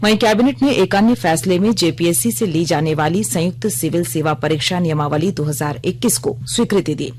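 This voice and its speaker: A woman reading a scripted radio news bulletin in a formal, measured manner. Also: fairly low pitch, a little mellow, slight background noise.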